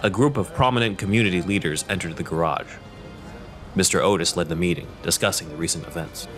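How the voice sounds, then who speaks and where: A man narrating an audio drama in a measured, scripted storytelling manner. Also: low voice, over music.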